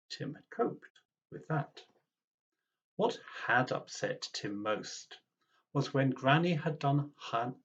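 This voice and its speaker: Guy reading a kids book, super animated, takes his time, slightly dull sound. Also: mid-range voice. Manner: animated, dramatic. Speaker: storyteller. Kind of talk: expressive children's storybook reading.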